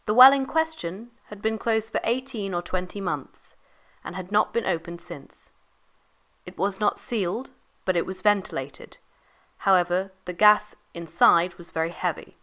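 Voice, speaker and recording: Woman narrator, mid pitch, scripted history account, formal and factual. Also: normal speed, bright, forceful tone.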